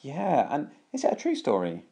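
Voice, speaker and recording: British man prompting gently with questions. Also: medium pitch, huge pitch swings, moderate pace, slightly rough. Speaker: podcast host, father interviewing his children. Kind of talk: casual family podcast chat.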